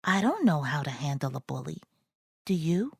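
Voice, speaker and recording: A woman voicing a frightened young girl bunny in a children's storybook, earnest and breathy. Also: low voice, big pitch swings, moderate pace, no room sound, clean studio sound, voice pushed down, full character voice. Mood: anxious, pleading.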